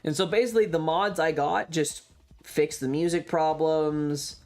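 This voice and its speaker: A streamer with a mid-range voice talks in a loose, chatty livestream style. The delivery is opinionated and rambling, circling back to repeat points and swearing casually. Music plays clearly in the background. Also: medium pitch, steady tone, unhurried, a little mellow. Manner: casually profane. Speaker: variety streamer.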